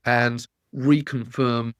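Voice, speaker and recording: A man presenting a professional webinar, explaining points step by step in a measured way. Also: mid-range voice, little pitch movement, no echo, clean studio sound. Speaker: corporate lawyer presenting a webinar.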